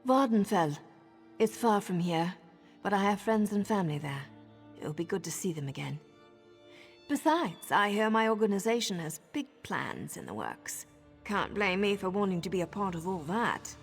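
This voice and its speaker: A woman voicing a blunt dark elf assassin. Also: medium pitch, big pitch swings, normal speed, big-room sound, faint music behind. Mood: wry. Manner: blunt and scornful.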